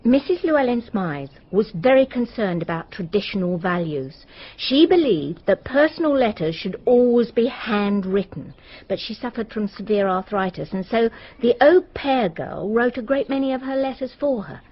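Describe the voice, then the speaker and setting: A woman playing a well-informed, helpful local with an English accent and husky voice, explaining matter-of-factly in a radio drama. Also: a little high, expressive, normal speed. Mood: explanatory. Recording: slightly dull radio drama audio.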